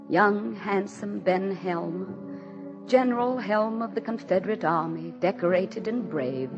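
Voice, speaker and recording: An actress playing a grieving, high-strung president's wife in a theatrical, intense 1940s radio drama style. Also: female voice. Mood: sombre. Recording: old 1940s radio broadcast with music.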